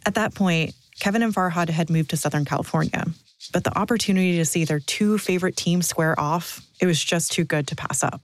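A woman's voice: on the low side, fairly quick, dry recording. A woman, narrating a scripted podcast story as host and reporter.

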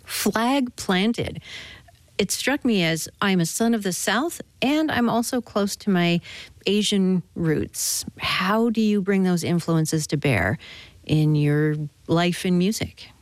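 A woman's voice: medium pitch, slow pace, no echo. A woman radio host presenting and interviewing a musician in a scripted, on-air style.

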